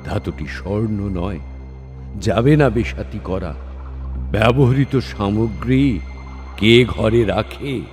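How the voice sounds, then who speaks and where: A man's voice: fairly low pitch, slow pace, pauses often. A man playing a proud, wounded, generous epic warrior in formal, declamatory dramatic verse over music. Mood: shaken, questioning. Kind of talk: dramatic Bengali verse recitation. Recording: studio voice over soft music.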